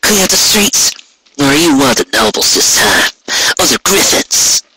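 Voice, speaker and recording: A man's voice: a little high, lively intonation, moderate pace, bright, forceful tone, breathy edge, voice pushed up, exaggerated voice, rough recording. A man voicing a cynical young female pony drug dealer with cocky, brusque street talk, in a story reading. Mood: threatening.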